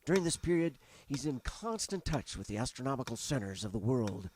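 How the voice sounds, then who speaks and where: An actor playing an eager reporter describing an observatory in a 1930s radio drama. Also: male voice, medium pitch, brisk, a little hiss or hum, natural voice. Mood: descriptive. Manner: vivid, descriptive, animated.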